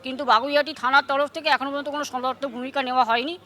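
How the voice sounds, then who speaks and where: A man with a West Bengal accent giving a formal, halting lawyer's statement to reporters outdoors. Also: extremely high pitch, little pitch movement, pushed and bright.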